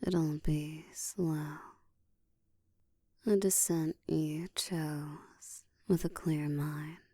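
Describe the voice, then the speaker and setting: A woman voicing a dominant, seductive ancient vampire in an intimate, breathy whispered ASMR roleplay, teasing and deliberate. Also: deep voice, fairly even intonation, warm tone, no room sound, exaggerated voice. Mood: commanding, seductive. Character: dominant, seductive ancient female vampire, hungry yet controlled.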